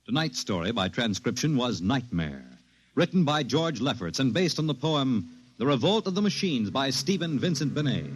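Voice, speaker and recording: Dramatic 1950s radio announcer. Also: male voice, medium pitch, very animated, moderate pace, poor audio. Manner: crisp, emphatic, dramatic promo delivery. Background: music.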